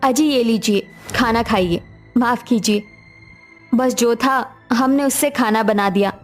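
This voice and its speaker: A woman's voice: a little high, measured pace, close to an everyday voice. A woman voicing a poor, devoted, hopeful young daughter-in-law in a cartoon family story. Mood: tender, dutiful. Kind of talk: cartoon dialogue acting. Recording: music behind the voice.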